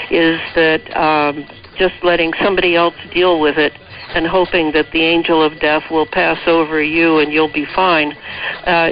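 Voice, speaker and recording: A man speaking as a radio interview guest, giving opinions emphatically and pausing mid-thought. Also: fairly high pitch, slight background noise. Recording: dull lo-fi shortwave radio relay.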